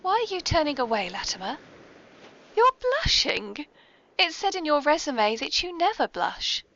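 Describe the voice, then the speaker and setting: A woman's voice: high-pitched, sing-song, normal speed, sharp tone, slight background noise, full character voice. A woman with a British accent playing a genteel, mischievous lady mastermind, hosting a scripted comic podcast in a prim, arch, formal manner. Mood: arch, amused. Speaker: podcast host. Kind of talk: scripted comic audio drama. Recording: dull lo-fi podcast recording.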